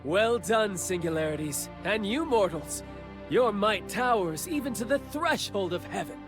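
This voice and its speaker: A youthful god of war and wind for a fantasy game. His voice is high for a male, and he speaks in grand, poetic, formal proclamations. Prominent music plays behind him. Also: lively intonation, a little slow. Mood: reassuring, proud. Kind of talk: video game English dub acting. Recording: voice over background music.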